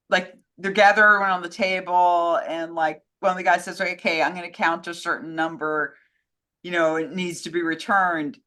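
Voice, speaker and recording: Bright-voiced woman chatting casually. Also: lowish voice. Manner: informal, opinionated, rambling with frequent "like". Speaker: co-host answering fan questions. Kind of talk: casual film and old-time radio chat.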